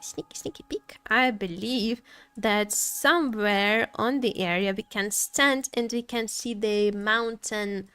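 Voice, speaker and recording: A woman's voice: fairly high pitch, fairly bright tone, dry recording. A woman chatting casually as she livestreams a game, playfully repeating her phrases to viewers.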